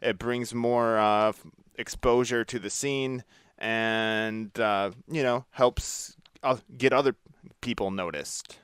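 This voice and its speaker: A man with a middle-pitched, exceptionally smooth and clear voice hosts a relaxed conversation. His phrasing is agreeable and slightly hesitant. He is recorded dry, without room echo. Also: slow. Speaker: podcast host. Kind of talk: casual conversational interview.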